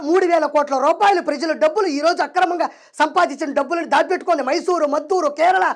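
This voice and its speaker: Guy ranting and mocking, talks fast and high, accusing, slight noise. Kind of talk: mocking political commentary.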